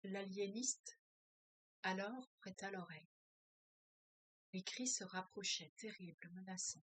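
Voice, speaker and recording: Female workshop leader at a mid pitch, reads passages and then muses out loud with lots of pauses and filler sounds; close, dry sound. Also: no echo. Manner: hesitant, many filler sounds, thinks aloud. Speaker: French as a foreign language teacher. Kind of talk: reading a novel aloud with commentary.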